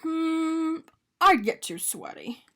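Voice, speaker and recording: Woman reading as a fussy, polite storybook gentleman, lively pitch. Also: slow pace, heavily acted. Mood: fussy, dismissive. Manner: polite, fussy, matter-of-fact. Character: fussy, polite, kindly man with a doughnut. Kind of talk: animated picture-book character reading.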